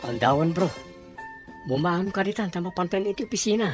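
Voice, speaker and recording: A woman's voice: lowish voice, lively intonation, fairly quick, over loud music, lightly acted. A woman playing a caring, practical workmate with an Ilocano accent in a radio drama. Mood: concerned.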